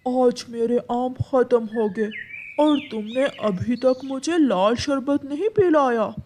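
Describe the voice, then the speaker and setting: A woman's voice: on the high side, slow, warm tone, slight background noise. A woman voicing a proud, gullible male talking tree with a plaintive manner in a cartoon. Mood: plaintive, needy.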